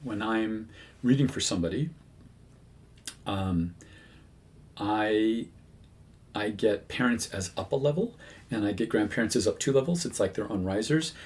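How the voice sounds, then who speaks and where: A man's voice: lowish voice, expressive, measured pace, long pauses, darkish tone. A man who works as a medium, talking casually to his viewers with many fillers and self-corrections. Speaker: medium, channel host. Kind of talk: casual talk to camera.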